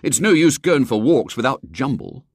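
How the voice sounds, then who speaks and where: A man's voice: medium pitch, big pitch swings, normal speed, no echo, clean studio sound, voice pushed up. A man voicing a sulky, aggrieved schoolboy in a storybook reading, petulant and indignant.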